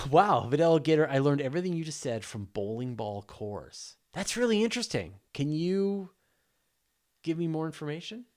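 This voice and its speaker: A man's voice: a little high, big pitch swings, normal speed. A man hosting a live astronomy question show, chatting casually and off the cuff with informal enthusiasm.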